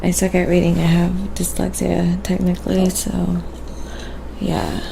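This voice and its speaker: A woman's voice: on the low side, completely flat delivery, measured pace, dark tone. A woman hosting a live stream, chatting casually and informally with her viewers. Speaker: live stream host and painter. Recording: clear background noise, live stream.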